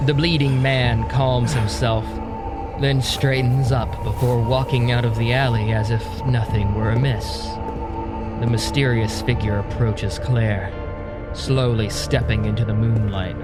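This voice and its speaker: Slow-paced male dramatic narrator. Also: mid-range voice, fairly even intonation, over loud music. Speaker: narrator, storyteller.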